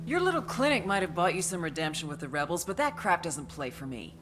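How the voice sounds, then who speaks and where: An actress playing a ruthless, sardonic American intelligence agent in a video game cutscene, threatening and profane. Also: female voice, fairly low pitch, sing-song, quick pace, over music. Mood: scornful.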